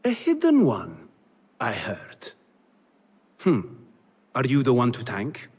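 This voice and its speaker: An actor playing a proud, cynical middle-aged governor and pragmatic schemer in a video game. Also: male voice, medium pitch, huge pitch swings, takes it slowly, a little mellow, slightly rough, exaggerated voice. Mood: dry, defensive. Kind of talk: video game cutscene acting. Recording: reverberant hall.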